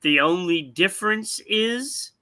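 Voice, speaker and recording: A man co-hosting a live sports talk show, giving opinions in a deliberate way with pauses mid-sentence. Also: high-pitched, animated, bright, forceful tone.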